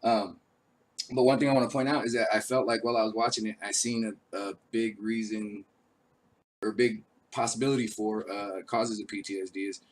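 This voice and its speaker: Raspy guy with a cold, lowish voice, rambling host talk with lots of uhs. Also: fairly even intonation, brisk, low-quality recording. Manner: laid-back, rambling, frequent uh fillers. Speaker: show host; army veteran. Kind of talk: casual live-stream talk.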